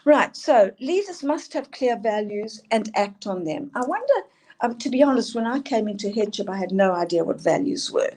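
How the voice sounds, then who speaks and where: A woman's voice: mid-range voice, animated, moderate pace, a little mellow. An older woman from South Africa with a South African accent, earnestly presenting a talk on school leadership. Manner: earnest, emphatic, asks for next slides.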